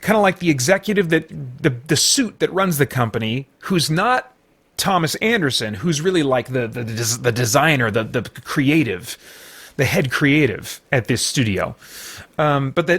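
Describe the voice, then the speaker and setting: A man giving an unscripted movie review as a host, speaking emphatically in a rambling, halting way with repeated false starts. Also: a little high, a bit bright. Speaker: podcast host and film reviewer.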